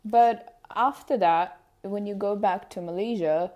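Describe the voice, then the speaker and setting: A woman from Ukraine, a native Russian speaker, co-hosting a podcast, reflective and quick to ask follow-up questions. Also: mid-range voice, darkish tone. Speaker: podcast co-host. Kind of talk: casual conversational chat.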